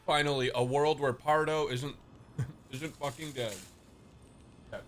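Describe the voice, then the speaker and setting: A man's voice: medium pitch, fairly even intonation, measured pace, soft background music. Casual male game streamer reacting live. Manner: casual, sweary.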